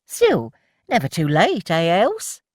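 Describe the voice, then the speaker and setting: A reader voicing Milly, a cheeky young woman who teases her friends loudly. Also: mid-range voice, very animated, normal speed, no room sound, clean studio sound, pitched above the performer's own voice, close to an everyday voice. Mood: teasing. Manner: loud, playful, teasing.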